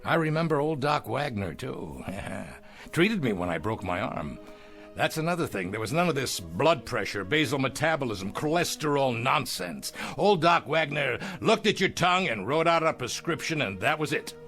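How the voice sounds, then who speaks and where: An actor playing a shrewd, restless, boastful old tycoon in 1960s television drama, with theatrical, emphatic delivery. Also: male voice, medium pitch, very animated, fairly bright tone. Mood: wistful, nostalgic. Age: older. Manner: theatrical, blustering, chuckling, halting then emphatic. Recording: old TV soundtrack with background music.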